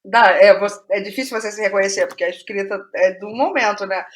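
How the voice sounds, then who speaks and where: A woman's voice: medium pitch, fast talker. A Brazilian woman being interviewed as a playwright, talking casually and reflectively, thinking aloud and wandering into digressions.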